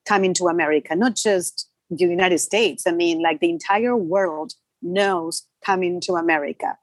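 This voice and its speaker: Low-voiced woman from Argentina, native Spanish speaker. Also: normal speed, dry recording, studio quality. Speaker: film makeup artist, interview guest. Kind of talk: conversational interview answers.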